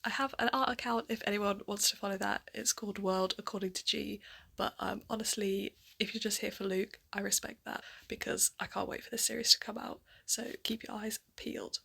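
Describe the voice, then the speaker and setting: A woman chatting casually as a cast member of a fan audio drama, with a husky, creaky voice. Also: medium pitch, steady tone, brisk, pushed and bright. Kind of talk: casual chat to camera.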